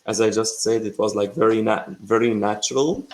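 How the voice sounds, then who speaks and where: A man's voice: fairly low pitch, fairly even intonation, fairly quick, a little mellow. A young man from Syria, speaking English, who chats hesitantly on a video call with many fillers and restarts. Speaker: English learner. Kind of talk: casual video chat.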